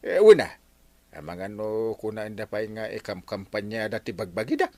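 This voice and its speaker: A man's voice: fairly low pitch, animated, moderate pace, darkish tone, dry recording. A man playing an exasperated village captain scolding people in an Ilocano radio comedy drama.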